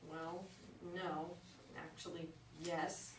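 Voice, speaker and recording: A woman's voice: lowish voice, level tone, extremely slow. A woman playing a well-off resident who is hesitant and politely defensive, in a staged reading of a play. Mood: hesitant, reluctant. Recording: distant echoey live recording, noisy background.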